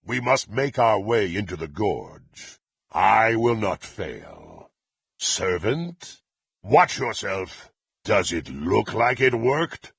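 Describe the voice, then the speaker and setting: A menacing fantasy game warrior, rough and rasping, speaking in haughty, archaic threats. His delivery is slow and theatrical, swinging dramatically up and down in pitch. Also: sing-song, a bit bright, no room sound, studio quality, full character voice. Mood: haughty, brooding. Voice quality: gravelly, raspy. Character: murderous, menacing, proud warrior serving a dark lord. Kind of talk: fantasy video game voice acting.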